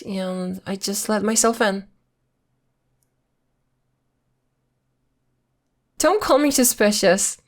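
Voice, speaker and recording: A woman acting in an ASMR roleplay as a playful, evasive girlfriend who is secretly a witch, talking intimately in a coy, teasing, casual way. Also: a little high. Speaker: voice actor.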